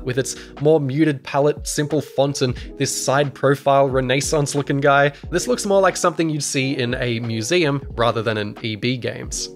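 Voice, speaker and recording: A young Australian man narrating a scripted video essay about an old game, casual and wry, with dry asides. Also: on the high side. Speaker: YouTube host. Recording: faint music underneath.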